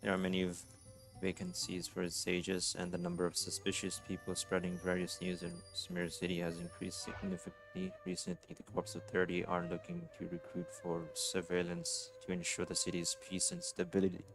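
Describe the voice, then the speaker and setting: A man's voice: deep voice, animated, a little fast, vocal fry. A man giving casual live reaction commentary while streaming a video game, with game music behind him. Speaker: livestreamer playing a game.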